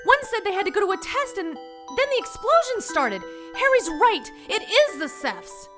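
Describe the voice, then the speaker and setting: Casting: excitable, fearful young heroine, high swooping voice, radio drama, music behind. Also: female voice, moderate pace. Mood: alarmed. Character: frightened, excitable young woman in 1940s mystery. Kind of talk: dramatic radio narration and acting.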